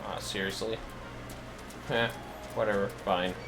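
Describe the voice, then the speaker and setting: A man's voice: lowish voice, fairly even intonation, unhurried, creaky, over music. A man streaming a video game, chatting casually and muttering to himself as he plays. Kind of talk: casual livestream chat while gaming.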